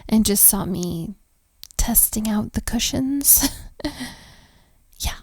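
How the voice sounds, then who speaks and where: A woman playing a flustered, self-deprecating young hotel maid in an intimate audio roleplay, speaking haltingly with soft, giggly breathiness. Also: on the high side, creaky, no room sound, full character voice. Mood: shy, content. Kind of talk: intimate audio roleplay, one-sided conversation.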